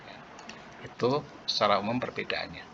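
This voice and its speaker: Low-voiced male lecturer, muffled audio. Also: creaky, very noisy. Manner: halting, with pauses mid-phrase. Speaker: accounting lecturer. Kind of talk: explanatory lecture walking through worked journal entries.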